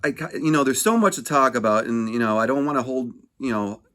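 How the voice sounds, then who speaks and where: A man's voice: medium pitch, fairly quick, a bit bright. A man conducting a casual phone interview, asking informal, rambling questions.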